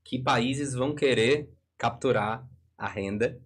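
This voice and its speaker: A man's voice: medium pitch, slow pace, husky. A man with a Brazilian accent explaining things didactically in a live discussion, working through hypothetical examples.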